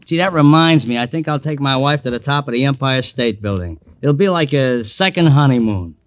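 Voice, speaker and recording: A man's voice: medium pitch, lively intonation, a little fast, close to an everyday voice. Genial American beat cop played by a man, mid-range voice, folksy and talkative, vintage radio crime serial. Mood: easygoing. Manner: folksy, easygoing. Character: friendly, chatty male beat police officer. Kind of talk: 1940s radio drama acting. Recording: old 1940s radio broadcast.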